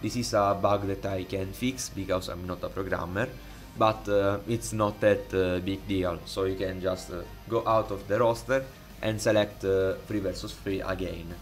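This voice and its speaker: Low-voiced italian guy doing a game mod tutorial, halting and full of ums, faint game music behind. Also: fairly even intonation. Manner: halting, many fillers and restarts. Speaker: modding tutorial host. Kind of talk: casual screen-recorded tutorial. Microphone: desktop USB microphone.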